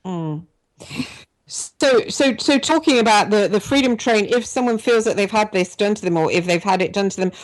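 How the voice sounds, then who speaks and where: A middle-aged woman hosting a radio interview, talking in long rambling stretches full of restarts and repeated words. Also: mid-range voice. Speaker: radio show host. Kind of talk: conversational interview.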